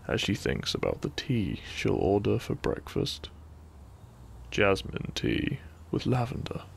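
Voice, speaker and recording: Gravelly, hushed man telling a bedtime story. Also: low-pitched, a little hiss or hum. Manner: soothing, hushed, lingering pauses between short phrases. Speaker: narrator of sleep-aid audio. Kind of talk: soft, gentle bedtime story narration, ASMR-like.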